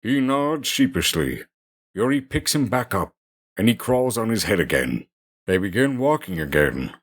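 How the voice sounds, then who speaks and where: A man's voice: lowish voice, sing-song, normal speed. A man narrating an audio drama with dramatic scripted storytelling delivery. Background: music.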